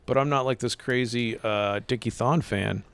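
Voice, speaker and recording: Laid-back podcast co-host. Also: male voice, medium pitch, normal speed, a bit bright, smooth. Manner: laid-back, rambling, says "dude" a lot. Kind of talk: casual chat about baseball.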